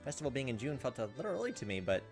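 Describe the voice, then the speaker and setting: A man's voice: medium pitch, big pitch swings, very fast, soft background music. A man hosting a let's play, reading a visual novel aloud and commenting casually as he goes.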